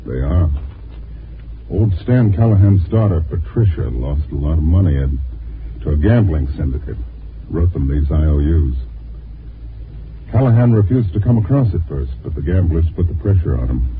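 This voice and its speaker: An insistent, shady American private detective in an old radio drama. The voice is low. The 1950s recording is muffled and slightly noisy. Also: male voice, lively intonation, moderate pace.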